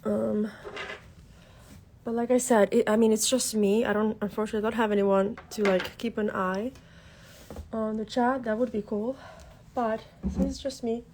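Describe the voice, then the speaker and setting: A woman's voice: fairly high pitch, steady tone, moderate pace, pauses often, warm tone, slight background noise. Congested woman chatting on a craft livestream. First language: Albanian. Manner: chatty, lots of fillers, greets viewers. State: congested from a cold. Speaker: craft tutorial host. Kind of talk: casual livestream chat. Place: home craft desk.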